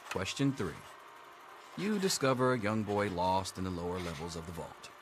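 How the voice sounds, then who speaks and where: An actor playing a dry, sarcastic schoolteacher running an exam in a video game. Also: male voice, low voice, moderate pace, noisy background, natural voice. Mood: droll, reciting. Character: sardonic male vault schoolteacher giving an exam. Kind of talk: scripted video game character dialogue.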